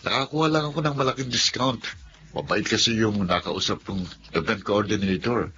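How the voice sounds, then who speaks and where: A man voicing a joking young party host, low voice, faint hiss. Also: a little fast, pushed and bright. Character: young man hosting his birthday party, joking and hopeful. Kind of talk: Tagalog radio drama acting. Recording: dull lo-fi audio with faint hiss.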